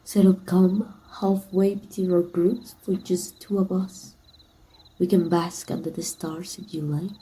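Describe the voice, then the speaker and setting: A woman from Mexico voicing a teasing, tender female villain in an intimate ASMR roleplay, soft and breathy with some whispering. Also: lowish voice, flat delivery, measured pace, a little hiss or hum, lightly acted, rough recording. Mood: flirtatious, teasing.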